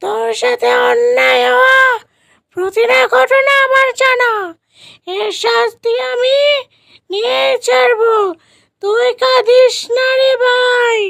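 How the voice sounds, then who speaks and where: A woman voicing an eerie, vengeful yet protective jungle ghost in a horror cartoon, drawn-out and wailing. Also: extremely high pitch, fairly even intonation, vocal fry, a bit bright, no echo, clean studio sound, pitched above the performer's own voice, heavily acted.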